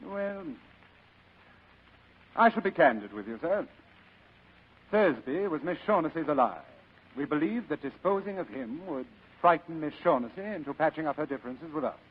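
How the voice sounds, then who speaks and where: A courtly, scheming crime boss in a vintage radio drama. His voice is husky and fairly high for a man, with florid, pompous politeness. The old recording is muffled. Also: lively intonation, moderate pace, pauses often. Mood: pompous, measured. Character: courtly, scheming older male criminal mastermind, the Fat Man. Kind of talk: 1940s radio acting.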